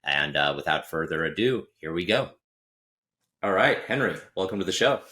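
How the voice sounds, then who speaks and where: Deep-voiced male podcast host. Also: normal speed. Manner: relaxed, thinks aloud while posing long questions. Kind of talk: casual interview chat.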